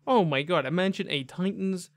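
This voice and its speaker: A man's voice: high-pitched, moderate pace, no room sound. A young man giving casual, enthusiastic, chatty video game commentary with emphatic delivery. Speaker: gaming video host.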